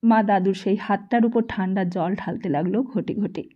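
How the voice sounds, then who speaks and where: A woman's voice: mid-range voice, normal speed, muffled recording. A woman narrator reading a literary story as a scripted audiobook.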